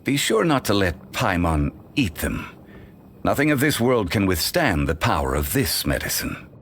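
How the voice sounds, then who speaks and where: An actor voicing an ancient, dignified male sage for a game, in formal, measured, unhurried speech. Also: low-pitched, sing-song, a bit bright, slight background noise, exaggerated voice. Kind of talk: studio game voice lines.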